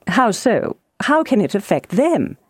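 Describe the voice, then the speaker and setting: A woman voicing a wry, teasing middle-aged husband in an audiobook, in an unhurried deadpan manner. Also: medium pitch, breathy edge, no room sound. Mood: dry, indifferent. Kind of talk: audiobook character voice.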